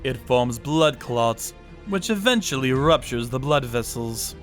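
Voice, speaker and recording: A man tells the story in a slow, cold, detached way. His pitch sits in the middle of the range, and faint music plays under his voice. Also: expressive. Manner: cold, sadistic, unhurried. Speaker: narrator and torturer character. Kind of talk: manga dub narration.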